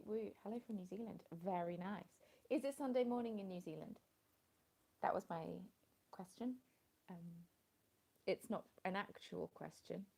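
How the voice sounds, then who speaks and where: Woman, mellow gravelly voice, rambling live stream chat at home, phone mic. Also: lowish voice. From England. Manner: rambling, chatty, greeting viewers by name. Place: at home in the evening, dim light. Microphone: phone with a small plug-in mic.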